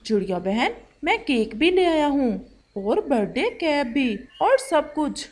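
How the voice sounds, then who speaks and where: Woman voicing a high, animated cartoon bird. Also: unhurried. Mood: matter-of-fact. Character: cartoon bird and cloud friends, plain-spoken, matter-of-fact. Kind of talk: Hindi children's cartoon voice acting.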